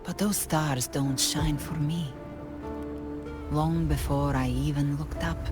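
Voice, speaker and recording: A calm, resolute female hunter with a low voice. She speaks in measured, solemn video game dialogue, consoling and encouraging, with prominent music behind her. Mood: quiet resolve.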